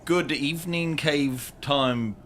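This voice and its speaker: A man's voice: on the high side, slow, fairly bright tone, a little hiss or hum. A man playing a tabletop game, bantering casually in character with hesitant, dry joking.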